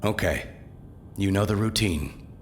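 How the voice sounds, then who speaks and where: An actor playing a dismayed, dutiful officer in an audio drama, speaking plainly and sombrely. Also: male voice, on the low side, a little slow, noisy background, lightly acted. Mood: dismayed, resigned. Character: dismayed, dutiful male officer making an arrest.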